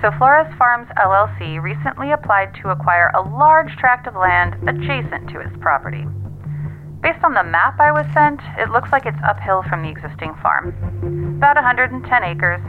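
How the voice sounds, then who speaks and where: A woman's voice: a little high, animated, moderate pace. A woman acting in an audio drama as a sardonic, self-assured investigator relaying findings matter-of-factly over a phone line. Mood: businesslike. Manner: matter-of-fact, dryly wry. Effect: telephone filter. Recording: tinny phone line, music underneath.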